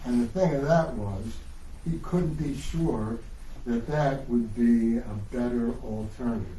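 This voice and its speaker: A man's voice: mid-range voice, measured pace, warm tone. A man giving a live dramatic reading of a novel in a bookshop, moving between narration and dialogue. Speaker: author reading from own novel. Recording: echoey distant room recording with noise.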